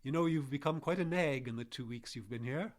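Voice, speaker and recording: An actor playing an elderly, confused doctor in a radio drama, muttering and halting, irritable and evasive. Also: male voice, medium pitch, lightly acted. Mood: testy, absorbed. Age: older. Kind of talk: live radio drama acting. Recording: clearly noisy live radio drama recording.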